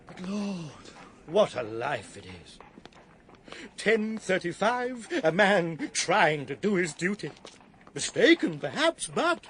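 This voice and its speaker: Shop clerk feeling sorry for himself, radio play, highish n very up and down. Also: male voice, a bit bright, slight background noise, heavily acted. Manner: melodramatic, self-pitying. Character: proud, rueful male shop assistant bewailing his ruin. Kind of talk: British period radio drama acting.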